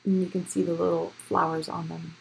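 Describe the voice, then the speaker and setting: A woman's voice: fairly low pitch, little pitch movement, a little fast, gravelly, low-quality recording. A woman giving a casual, upbeat, chatty tour as a vlog host, speaking directly to viewers outdoors into a handheld camera. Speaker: host of a home gardening vlog. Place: outdoors in a backyard garden in the evening. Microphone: handheld camera or phone. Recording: outdoor handheld audio with background noise. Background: faint outdoor ambient noise.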